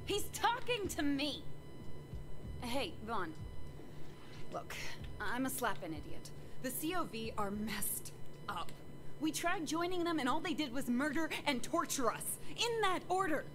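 A foolish, excitable young bandit girl from a video game. Her voice is very high and swings about with melodramatic panic. Music runs underneath it. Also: measured pace, pauses often. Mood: exasperated. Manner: excitable, melodramatic, uses silly substitute swearing. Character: frantic young female bandit, panicky, foolish and self-blaming.

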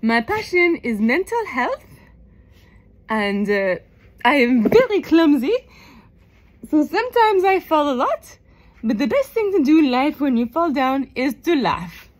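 Female presenter for informal self-pitch: high voice, lively swings in intonation, chatty, playful. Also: a little slow. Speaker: nurse and children's entertainer presenting herself. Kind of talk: informal video pitch to camera.

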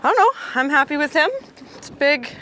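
Lively young woman chatting on a boat. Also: high-pitched, a little fast, a little hiss or hum. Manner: chatty, laughs easily. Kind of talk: casual chat while fishing. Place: outdoors on an open boat at sea.

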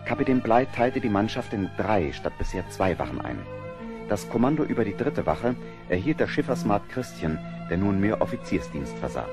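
A man narrating a German radio play from a script, in a husky voice. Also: fairly low pitch, very animated, normal speed, music in the background.

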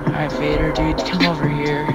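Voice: mid-range voice, flat delivery, brisk. A young-sounding voice acting out informal, repetitive, exclamatory dialogue for a homemade game roleplay. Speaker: voice actor in a self-made Minecraft roleplay. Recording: music behind the voice.

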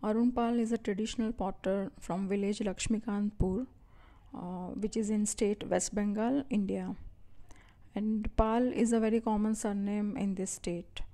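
A woman giving a slow, deliberate voice-over that introduces a traditional potter. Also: medium pitch, steady tone, warm tone.

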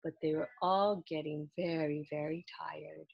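A woman in her thirties reading a children's story aloud in an expressive, deliberate storytelling style. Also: sits low, vocal fry, husky, no echo, lo-fi sound. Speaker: children's book reader. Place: small indoor room lined with books.

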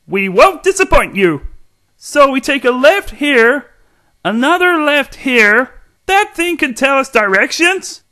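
Dim cartoon robot sidekick, high-pitched, bright forceful tone, goofy, eager, excitable. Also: male voice, slow, exaggerated voice. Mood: chatty, clueless. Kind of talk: cartoon character voice demo.

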